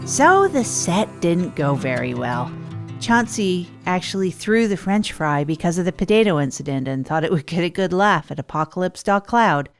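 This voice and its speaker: A rambling, self-deprecating radio host. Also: female voice, on the low side, big pitch swings, moderate pace, faint music behind. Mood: rueful, reflective. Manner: rambling, hesitant, confessional. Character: self-deprecating failed-entrepreneur radio host Tog, trying stand-up comedy. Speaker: host of an advice radio show. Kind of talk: improvised comedy monologue in an audio drama.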